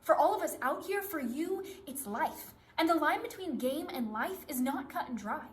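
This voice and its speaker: A woman's voice: sits high, expressive, normal speed. A woman performing a stern, reproachful game show contestant in a readers theatre monologue, speaking in emphatic short declarations. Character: stern, reproachful survival game contestant addressing fellow players. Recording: faint background noise.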